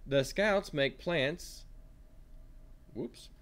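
A man teaching kindergarteners with an animated read-aloud, asking simple questions as he goes. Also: fairly high pitch, extremely slow. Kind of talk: read-aloud lesson for young children.

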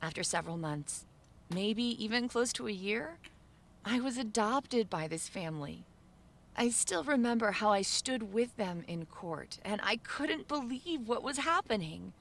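A woman narrates a first-person story for an animated video in a measured, subdued way. Her voice has a gravelly, creaky edge and sits at a middle pitch.

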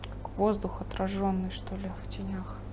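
Woman art teacher, calm running commentary while painting; recording has a clear hiss. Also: medium pitch, normal speed. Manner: thinking aloud while painting, calm and explanatory. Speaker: painting instructor. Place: studio table, overhead camera on the painting.

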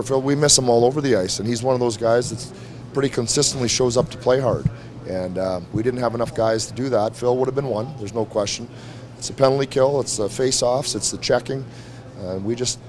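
A man's voice: medium pitch, a little fast, darkish tone. A middle-aged man, a head coach, answering reporters bluntly after a game, repeating his points. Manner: blunt, frank, repeats himself. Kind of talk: postgame press conference. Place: arena press room before a team backdrop. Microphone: several handheld mics held close.

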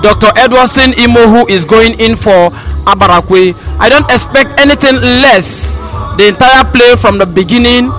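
A man's voice: very high voice, normal speed, a bit bright, low-quality recording. A young Nigerian man giving an earnest, emphatic interview answer into a handheld microphone. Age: young adult. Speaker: audience member being interviewed. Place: large indoor auditorium. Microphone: handheld microphone held close. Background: music.

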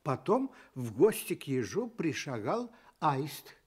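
A man telling a children's fairy tale for Soviet radio in an expressive storytelling style. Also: on the high side, takes it slowly.

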